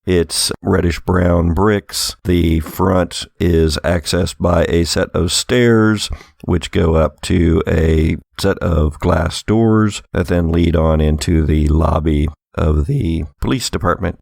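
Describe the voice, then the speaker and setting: A man narrating a tabletop game as game master in a measured, descriptive way. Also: low voice, vocal fry, darkish tone, no echo, clean studio sound.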